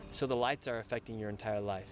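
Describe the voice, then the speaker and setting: A man's voice: fairly low pitch, fairly even intonation, fast talker. A man conducting bilingual street interviews outdoors, putting questions in both Spanish and English.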